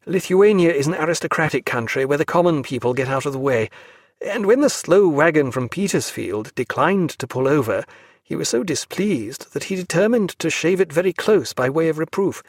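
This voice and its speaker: A man's voice: fairly high pitch, animated, moderate pace, no echo, studio quality, pitched above the performer's own voice. A man doing a rueful, apologetic ship's doctor. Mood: recounting. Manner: earnest, apologetic. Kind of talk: audiobook character voice.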